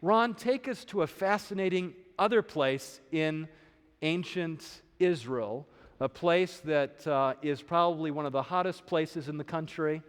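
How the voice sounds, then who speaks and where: A man presenting a lecture in a steady, explanatory way. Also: fairly high pitch, long reverb tail. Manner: explanatory, steady, occasional uh fillers. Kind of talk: lecture on archaeology.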